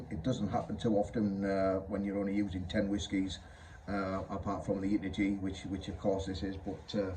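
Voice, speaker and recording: A man with a lowish, mellow voice chats politely on amateur radio. His intonation stays flat and even, with faint hiss in the background. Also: low-quality recording. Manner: chatty, polite. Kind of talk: unscripted two-way radio chat.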